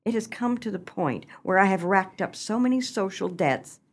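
Radio comedy: actress as gushing, status-hungry wife, theatrical delivery, pitch leaping all over. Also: female voice, lively intonation, moderate pace. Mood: frantic complaint. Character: excitable, socially ambitious wife dreaming of new riches. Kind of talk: humorous stage-style radio play acting.

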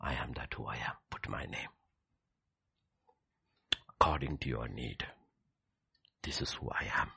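A man with a husky voice preaching a sermon, emphatic, repeating key phrases and swinging between hushed and loud delivery. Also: extremely low pitch, unhurried, pauses often. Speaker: preacher leading a prayer service.

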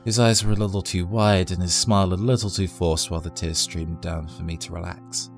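A man's voice: low-pitched, fairly even intonation, a little fast, faint music behind. A man narrating a scripted first-person horror story in a dramatic, tense storytelling manner.

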